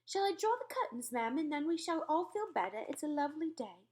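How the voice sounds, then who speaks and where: A woman's voice: high-pitched, very animated, a little fast, a little mellow, voice pushed up. A woman reading aloud and voicing a timid, deferential maid who quavers and keeps saying ma'am. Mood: earnest.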